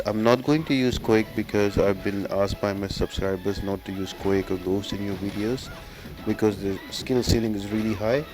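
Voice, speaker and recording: Low-voiced man narrating a game guide. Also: a little mellow, slight background noise. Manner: casual, instructional. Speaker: game guide video host. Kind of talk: explanatory gameplay walkthrough commentary.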